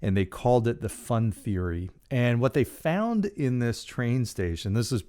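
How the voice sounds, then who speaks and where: A man in his forties hosting a solo leadership podcast in a small treated studio, talking casually with jokes and lively gestures. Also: medium pitch, measured pace. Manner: casual, joking, animated with hand gestures. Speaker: podcast host. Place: small studio with dark acoustic panels. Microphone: large studio condenser mic in front.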